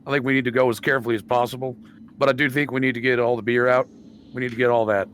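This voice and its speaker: A man's voice: medium pitch, level tone, rapid-fire, a bit bright, slight background noise. A man speaking casually as a player in a tabletop roleplaying game.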